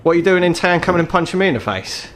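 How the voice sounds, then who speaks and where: A man's voice: high-pitched, lively intonation, very fast, voice pushed up. Tabletop game troll fixer, acted by a man: male, ageing, British accent, blunt, bitter, world-weary, swears freely. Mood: wary, irritated. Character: embittered ageing crippled troll, gruff underworld fixer, male.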